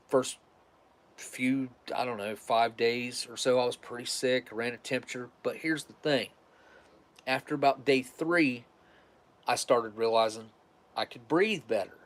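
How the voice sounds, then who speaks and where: A man with a stuffed-up, nasal voice, talking casually and rambling on a livestream as its host. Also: mid-range voice. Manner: rambling, restarts often, conversational.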